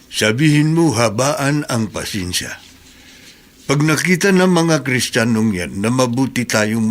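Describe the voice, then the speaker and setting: An actor in a radio drama playing a kindly older cousin who gives gentle, fatherly advice. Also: lowish voice.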